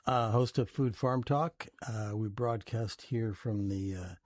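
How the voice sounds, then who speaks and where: A man hosting a campus radio talk show, speaking in a relaxed way with frequent "uh" pauses. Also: fairly low pitch, no room sound, clean studio sound. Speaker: radio host. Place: radio studio.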